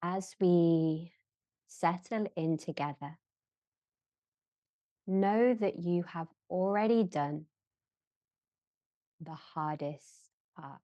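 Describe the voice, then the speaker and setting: Female meditation guide, low and mellow, gentle measured delivery in short phrases with long pauses. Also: no room sound, highs cut off. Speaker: yoga nidra guide. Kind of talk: slow guided relaxation meditation.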